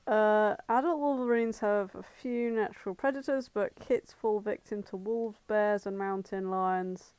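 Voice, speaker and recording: A woman's voice: mid-range voice, steady tone, measured pace, hardly any pauses, darkish tone, clear, resonant tone, no echo. A woman co-hosting a podcast in casual chat, playful, irreverent and sweary. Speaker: podcast co-host.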